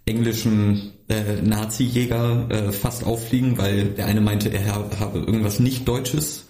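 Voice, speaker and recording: A man's voice: on the low side, flat delivery, measured pace, mellow, echoey room. A man in a casual podcast conversation, telling stories in an easygoing, agreeable way.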